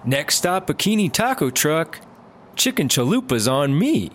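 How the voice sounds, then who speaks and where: A man's voice: on the high side, big pitch swings, measured pace. A man playing a hesitant, easily swayed, sheepish squad-car partner in a comic audio drama. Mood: casual, sheepish.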